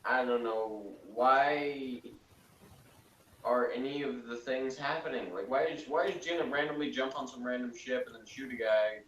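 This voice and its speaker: Man, echoey distant recording, mid pitch, blunt, dismissive, casual critique. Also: moderate pace, a bit bright, highs cut off, slight background noise. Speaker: podcast panelist. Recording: echoey room, far from mic.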